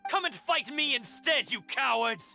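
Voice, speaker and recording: A fiery townsman from a fantasy game, very high-pitched with a creaky, gravelly edge. He blusters and challenges, swinging widely in pitch. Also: animated, moderate pace, bright, forceful tone, soft background music, full character voice. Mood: indignant. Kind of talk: video game voice acting.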